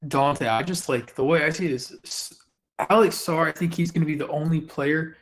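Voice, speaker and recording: A man with a slightly raspy voice co-hosting a casual basketball podcast, eager and quick to agree. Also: a little high, steady tone. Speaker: podcast co-host. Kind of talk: casual sports podcast chat.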